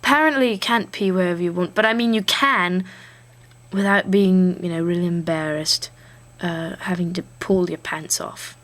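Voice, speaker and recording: A woman chatting casually in a halting way. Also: lowish voice, fairly bright tone. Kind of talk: casual chat.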